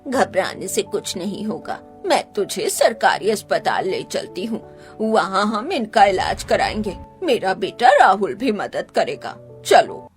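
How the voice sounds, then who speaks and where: A woman's voice: medium pitch, sing-song, unhurried, soft background music. A woman voicing a kind, reassuring elderly village woman in a cartoon story.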